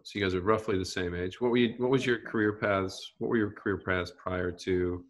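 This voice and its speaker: A man's voice: low voice, fairly even intonation, fairly quick. A man hosting a podcast, asking chatty, casual interview questions. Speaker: podcast host.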